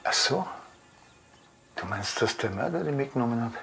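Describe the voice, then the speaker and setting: A man's voice: medium pitch, animated, moderate pace, vocal fry, fairly bright tone, soft background music. An actor playing a grumpy, dry, exasperated small-town policeman with a Bavarian accent and a curt manner in a TV crime comedy.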